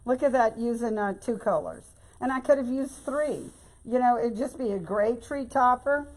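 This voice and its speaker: Hoarse older Louisiana woman. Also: a little high, moderate pace, darkish tone, poor audio. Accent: Louisiana Southern US. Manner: chatty and folksy, keeps saying y'all. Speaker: craft tutorial host. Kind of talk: casual bow-making demonstration.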